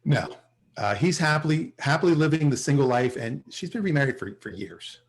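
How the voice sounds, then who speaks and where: Guy acting sarcastic sitcom sales dude, up and down voice, bit high, kinda hapless. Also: lively intonation, fairly quick. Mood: dry, sarcastic. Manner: sarcastic, wheedling, hesitant. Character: self-important, hapless male office salesman. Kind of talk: sitcom table read. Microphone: video call.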